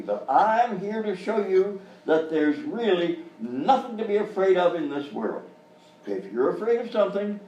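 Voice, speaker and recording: A man's voice: fairly high pitch, warm tone, dull audio. Man acting avuncular children's host, swooping intonation, flustered cheer, soothing singsong, live sketch comedy, roomy distant recording. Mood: chirpy, uneasy. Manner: reassuring, singsong talk to children. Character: avuncular, flustered male kids' show host, relentlessly cheerful. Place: live performance in hotel venue. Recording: roomy live stage, distant mic, faint noise.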